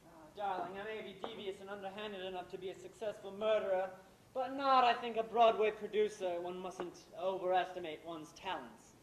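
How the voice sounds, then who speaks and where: A man's voice: high voice, steady tone, moderate pace, natural voice. A man acting a sardonic, devious playwright, echoey stage. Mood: envious, scheming. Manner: sardonic, theatrical, dryly wordy. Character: jaded, envious, devious husband and playwright. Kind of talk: amateur stage play acting. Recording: roomy, echoey stage recording with noise.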